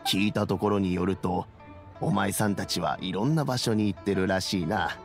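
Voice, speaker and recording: An actor playing a kindly, wise elderly statesman in a video game, speaking in a measured, old-fashioned way. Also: low-pitched, faint music behind. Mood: welcoming. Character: dignified kindly elderly statesman, grey-haired, calm and wise. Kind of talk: Japanese video game voice acting.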